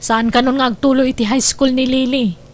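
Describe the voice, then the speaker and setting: A struggling Filipino wife and mother acted by a woman in a radio drama, her voice very high. She is exasperated and resentful, complaining about the hardship at home. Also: steady tone, a little fast, close to an everyday voice. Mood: resigned, bitter. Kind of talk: Ilocano radio drama acting.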